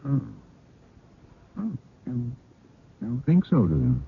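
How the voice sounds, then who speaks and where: An actor playing a haunted older man, telling ghost tales in a halting, dramatic old-time radio style with muttered pauses. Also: mid-range voice, wildly swooping pitch, breathy edge, heavily acted. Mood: haunted, insistent. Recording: muffled phone-like radio recording, faint noise.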